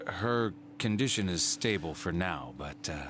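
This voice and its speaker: An actor playing a serious hospital doctor in a video game, explaining things in a careful, measured manner. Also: male voice, sits low, expressive, background noise. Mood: grave.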